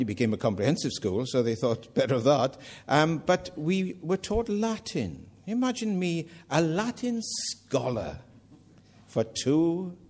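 A man's voice: mid-range voice, darkish tone. An older man with a Welsh accent preaching a sermon, earnest with self-mocking humour. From Wales.

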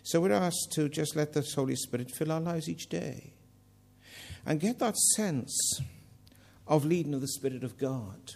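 A man's voice: fairly high pitch, measured pace, vocal fry, a little mellow. An older man with a Welsh accent preaching a sermon, earnest with self-mocking humour. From Wales.

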